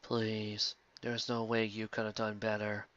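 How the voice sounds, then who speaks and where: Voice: medium pitch, completely flat delivery, a little slow, a bit bright, no room sound, highs cut off, pitched below the performer's own voice, close to an everyday voice. A performer voicing a haughty, hot-tempered, overwrought young heroine in a mocking dramatic reading of fan fiction. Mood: cold, indignant.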